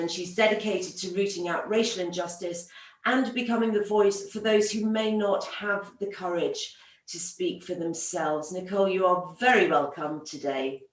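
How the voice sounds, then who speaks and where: A woman hosting an online event, introducing a guest speaker and putting questions to them. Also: fairly low pitch. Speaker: host and moderator. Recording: roomy, distant microphone.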